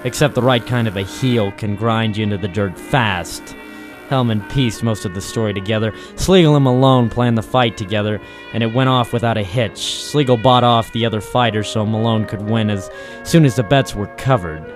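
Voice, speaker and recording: An actor playing a cynical, tough private eye, deadpan and wry, in a noir radio drama. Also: male voice, lowish voice, normal speed, music in the background, close to an everyday voice. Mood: cynical narrating. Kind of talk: 1940s film noir radio detective narration.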